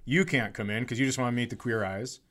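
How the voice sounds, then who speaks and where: A man's voice: mid-range voice, steady tone, fast talker, a bit bright. A man co-hosting a podcast in casual comedic banter, dry and teasing, with some swearing. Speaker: podcast co-host.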